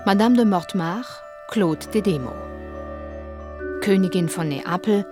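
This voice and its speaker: A woman's voice: mid-range voice, a little slow. A woman announcing a radio play in a formal, scripted manner. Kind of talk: scripted announcement of title and cast. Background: music.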